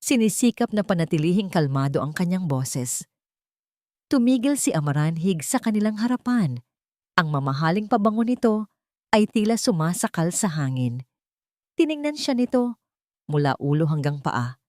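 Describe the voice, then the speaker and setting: Woman narrating a story, very expressive pitch. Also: moderate pace, pauses often, no room sound, studio quality. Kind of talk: scripted dramatic story narration.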